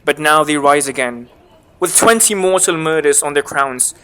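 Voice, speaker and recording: A man's voice: on the high side, moderate pace, sharp tone, clear, resonant tone. An actor playing a guilt-haunted, raving king in a dramatic reading of Shakespeare. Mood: agitated, defiant.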